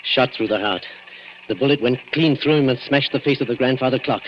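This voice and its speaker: An actor playing a hot-tempered, desperate gentleman in an old radio thriller, with an intense, clipped, dramatic manner. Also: medium pitch, fairly quick, close to an everyday voice. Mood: shaken, anxious. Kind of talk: 1940s radio thriller acting. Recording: dull, noisy old radio recording.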